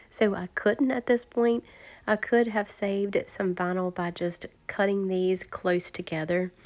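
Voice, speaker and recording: Raspy woman with a cold. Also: medium pitch, darkish tone. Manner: friendly step-by-step explaining. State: hoarse from a cold. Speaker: craft tutorial host. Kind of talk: tutorial voice-over narration.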